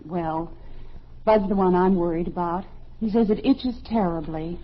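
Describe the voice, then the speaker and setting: An American actress playing a patient, concerned middle-aged suburban wife and mother in a vintage radio sitcom, reasoning gently. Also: on the low side, a little slow. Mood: concerned, soothing. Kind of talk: 1940s-50s radio sitcom acting. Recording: muffled vintage radio broadcast.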